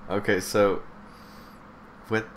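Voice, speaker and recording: Man, deep voice, casual podcast banter, teasing, leisurely delivery, faint noise behind. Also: level tone, slow. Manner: teasing, chuckling often. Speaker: podcast co-host. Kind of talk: casual chat.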